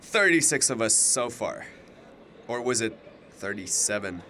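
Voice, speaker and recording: A man's voice: mid-range voice, very animated, measured pace, pushed and bright, slight background noise. A man playing a cocky, chatty young double who knows it all, with a glib, teasing way of talking in an audio drama. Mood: breezy, knowing. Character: cocky, chatty, all-knowing alternate-universe male double of a student.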